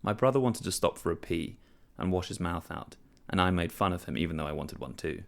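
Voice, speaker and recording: Deep-voiced man reading an expressive story. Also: a little fast, gravelly. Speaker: short story reader. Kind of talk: scripted first-person story narration.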